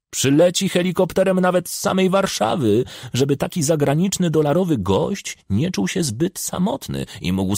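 A man's voice: a little high, vocal fry, darkish tone, breathy edge, no echo, studio quality, voice pushed up, heavily acted. A man voicing a self-important, sly village official who talks in an emphatic, colloquial, wheedling way, in an audiobook.

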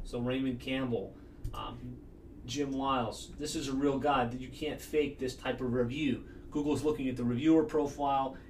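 A man in his thirties giving a brisk, confident screen-share tutorial as a marketing consultant, recorded in a small office. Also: mid-range voice, far from the mic, slight background noise. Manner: brisk and confident, explaining step by step. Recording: echoey room, voice a bit off-mic.

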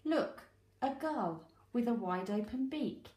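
A British woman, an early years teacher, reading a children's storybook aloud in an expressive, deliberate way. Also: fairly high pitch, reverberant. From United Kingdom.

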